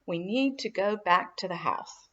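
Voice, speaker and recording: Woman reading as a gentle storybook mother, expressive. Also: on the low side, a little slow, muffled recording, full character voice. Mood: brisk.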